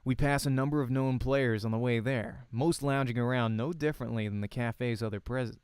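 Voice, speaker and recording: A man's voice: mid-range voice, fairly even intonation, normal speed, a little mellow, smooth, no room sound, clean studio sound. A man reading the narration of a visual novel aloud as narrator and voice actor.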